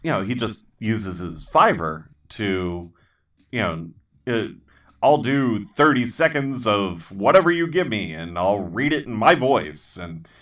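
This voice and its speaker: Slow, very low-voiced man, distant mic. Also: fairly bright tone. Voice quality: voice others call good for voiceover. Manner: halting, many ums and restarts, self-deprecating jokes. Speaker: channel host. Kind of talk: casual rambling chat while building.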